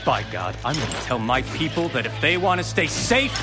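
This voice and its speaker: A man's voice: on the high side, very animated, brisk, over music. An actor playing an indignant city alderman in a radio drama, blustering and repeating himself. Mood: outraged, blustering. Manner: blustering, repetitive, interrupting.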